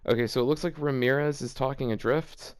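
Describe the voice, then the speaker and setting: A man's voice: mid-range voice, fairly quick, smooth. A man hosting a casual read-aloud with running commentary, sounding sardonic and exasperated. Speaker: host of a fan fiction reading series.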